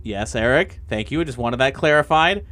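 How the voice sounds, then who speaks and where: A man's voice: medium pitch, animated, moderate pace, a bit bright, natural voice. A man playing an agitated, sarcastic man trapped inside a computer, flustered and stammering in improvised tabletop roleplay. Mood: exasperated. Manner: flustered, stammering, repeats words, exclaims.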